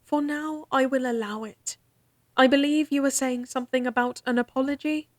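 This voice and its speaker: Woman as a young court musician lady, high voice, formal, guarded, crisp, dry close mic. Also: fairly even intonation, moderate pace, dry recording, close to an everyday voice. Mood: reserved. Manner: crisp, formal, slightly exasperated. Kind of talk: fantasy audio drama acting.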